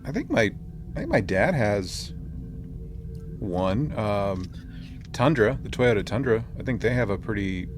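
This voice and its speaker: A man's voice: lowish voice, very animated, measured pace, long pauses, over music. A man hosting a podcast in casual chat, jokey and informal with his slang. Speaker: podcast host. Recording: clearly noisy room ambience.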